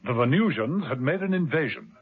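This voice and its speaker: A man narrating a 1970s radio drama in a dramatic, measured storytelling manner. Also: fairly high pitch, expressive, husky. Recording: tinny old radio broadcast with music.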